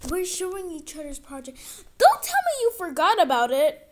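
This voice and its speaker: A woman's voice: extremely high pitch, normal speed, full character voice. A woman playing an exasperated teacher losing patience with an online class, in an amateur skit with dramatic, sighing delivery. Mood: fed up. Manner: exasperated, sighing, dramatic swings from calm to outburst. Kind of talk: amateur animated-skit voice acting, one side of dialogue. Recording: clicky home recording with background noise.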